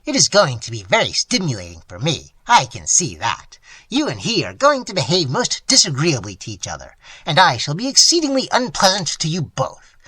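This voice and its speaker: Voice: mid-range voice, wildly swooping pitch, normal speed, pushed and bright, breathy edge, no echo, full character voice, rough recording. A narrator voicing an irascible older male painter who rants and craves quarrels, with an explosive, barking delivery, in a story reading. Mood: gleeful, eager.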